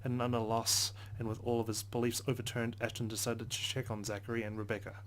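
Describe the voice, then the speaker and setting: A man's voice: mid-range voice, level tone, fast, a little hiss or hum. A man reading a visual novel's first-person narration aloud as a let's play host, earnest, with occasional stumbles.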